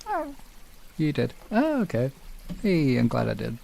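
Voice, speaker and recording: British man, casual livestream host, gravelly fry, lively swooping intonation, sweary rambling banter. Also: mid-range voice, measured pace, slight background noise. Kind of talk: casual chat with stream viewers.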